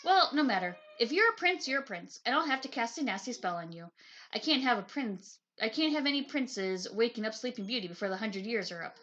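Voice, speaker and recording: A woman's voice: on the high side, animated, brisk, a bit bright, dull audio, slight background noise. A woman voicing a nosy, grumpy, scheming witch in a picture book read-aloud. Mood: suspicious.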